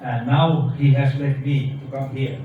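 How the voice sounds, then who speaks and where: A man from Finland with a Finnish accent, speaking halting, plain-spoken English as a company head, pausing so an interpreter can follow him. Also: mid-range voice, steady tone. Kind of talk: speech with consecutive interpreting. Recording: echoey hall, far from mic, dull sound, noisy.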